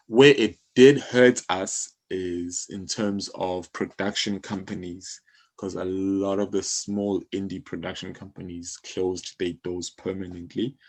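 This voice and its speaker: A man with a South African accent talking as an interview guest, explaining things in a casual, conversational way with everyday examples. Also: low-pitched. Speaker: interview guest, music publishing company co-founder.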